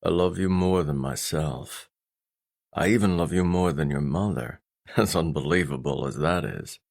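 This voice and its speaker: A man voicing a stern, intimidating middle-aged father in an audiobook, speaking in a grave, restrained, deliberate way with a gruff love underneath. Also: a little mellow, heavily acted. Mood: stern, tender. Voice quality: deep, low, weighty voice.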